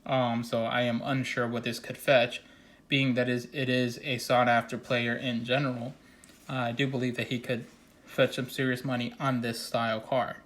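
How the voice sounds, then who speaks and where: A man with a slightly stuffy, nasal voice from congestion walks through his trading card pulls. His delivery stays level and even, with little rise and fall. He talks earnestly, pausing on fillers and restarting sentences. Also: medium pitch. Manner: steady, earnest, repeats opinions with many fillers. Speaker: card collecting channel host. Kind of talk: casual card showcase vlog.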